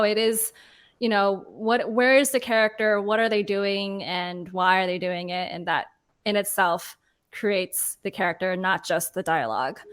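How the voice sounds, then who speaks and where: A woman's voice: medium pitch, a bit bright, clear, resonant tone. A woman moderating a panel discussion in a casual, conversational style.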